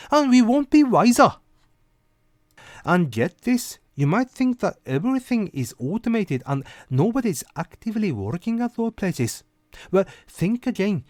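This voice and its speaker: Man with lively, swinging higher-pitched delivery. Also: dry recording, clean studio sound. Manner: conversational, rhetorical asides to the listener. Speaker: host of a weekly rant video show. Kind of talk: informal monologue commentary.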